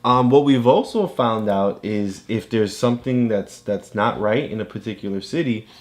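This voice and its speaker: A man's voice: lowish voice, normal speed. A man chatting casually as he coaches a child, explaining things simply and encouragingly. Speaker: parent co-host coaching a child reader. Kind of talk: casual teaching chat with a child.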